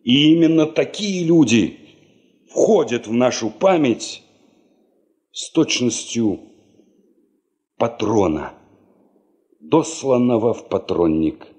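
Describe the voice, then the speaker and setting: An actor narrating a 1970s Soviet radio drama as a solemn storyteller, measured and reflective. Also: male voice, mid-range voice, expressive, darkish tone.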